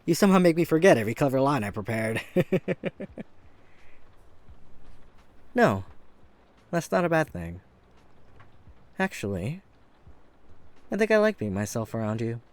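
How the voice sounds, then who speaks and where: A man's voice: mid-range voice, sing-song, moderate pace, long pauses, no room sound, natural voice. Man acting a flirty catboy hairdresser, swooping intonation. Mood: nervous, flirty. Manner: gentle, bashful, earnest, chuckling at himself. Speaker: voice actor. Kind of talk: flirty ASMR-style roleplay audio drama. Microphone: close studio mic.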